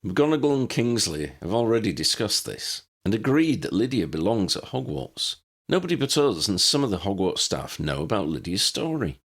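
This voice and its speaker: A man as a blunt, gravelly loyal wizard. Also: on the low side, sing-song, normal speed, fairly bright tone, pitched above the performer's own voice, heavily acted. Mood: firm. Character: plain-spoken, loyal adult male wizard friend. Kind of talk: storybook character acting.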